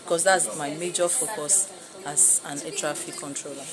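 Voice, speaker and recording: A woman's voice: low-pitched, steady tone, normal speed, over music. A woman with a Nigerian accent talks about her work as an air traffic controller in an interview. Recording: clear chatter of other voices behind.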